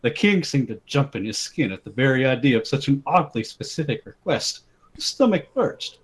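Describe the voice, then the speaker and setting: A man's voice: mid-range voice, very animated, moderate pace, slightly rough. A man giving a dramatic comic reading in a casual group voice chat, prone to breaking into giggles. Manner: giggly, often breaks into laughter. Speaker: reader of a comic story. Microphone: home mic in an online voice chat.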